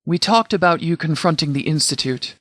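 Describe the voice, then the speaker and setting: Casting: male actor as stern game sheriff and commander, slightly higher-pitched male voice, measured and formal, recorded very dry. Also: pushed and bright, no echo. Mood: serious, measured. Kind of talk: scripted game dialogue, mission briefing.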